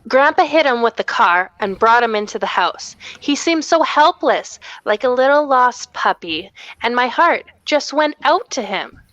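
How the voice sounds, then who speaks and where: A woman's voice: on the high side, animated, unhurried, fairly bright tone, no room sound. A woman reading the part of a wistful middle-aged mother reminiscing fondly at an amateur table read, on a lo-fi microphone. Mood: tender.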